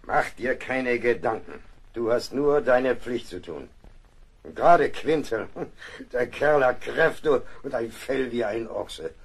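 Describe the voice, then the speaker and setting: An actor playing a seasoned ship's surgeon in an audio drama, gruff, blunt and fatherly. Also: medium pitch, expressive, takes it slowly, exaggerated voice. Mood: gruff reassurance. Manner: blunt, matter-of-fact, fatherly.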